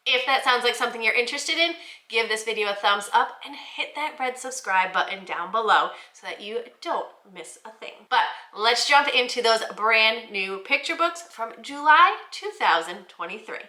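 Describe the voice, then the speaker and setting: Female presenter, very bright and upbeat, clear teacherly enunciation, recorded in a noticeably echoey room. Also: on the high side, animated, moderate pace. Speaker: host of a children's book review channel.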